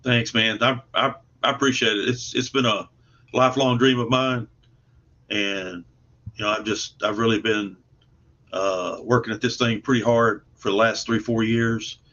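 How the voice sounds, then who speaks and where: Male interview guest, lower register, earnest and folksy, casual delivery, dull online-call recording. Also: steady tone, normal speed, sharp tone. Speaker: guest, comic book artist and writer.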